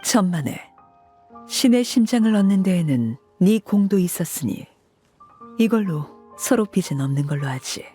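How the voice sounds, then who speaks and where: A woman voicing a cool, composed matriarch in an anime game, speaking in a measured, formal and aloof manner. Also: fairly low pitch, animated, warm tone, slightly rough, faint music behind. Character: cool, commanding woman, composed head of an orphanage family.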